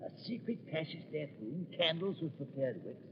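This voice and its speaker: An actor playing an excitable accomplice to a swindler in an old radio drama. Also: male voice, fairly high pitch, fairly quick, natural voice. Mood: gleeful. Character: excitable male swindler's accomplice, gleeful then uneasy. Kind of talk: 1940s radio acting. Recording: muffled old radio recording with heavy hiss.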